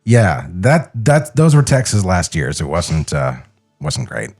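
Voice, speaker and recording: A man's voice: low voice, very animated, takes it slowly, a little mellow, faint music behind. A man co-hosting a podcast as an opinionated, rambling cartoon critic, chatting casually and swearing freely. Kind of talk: casual, profane podcast banter. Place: podcast studio. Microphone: podcast studio microphone.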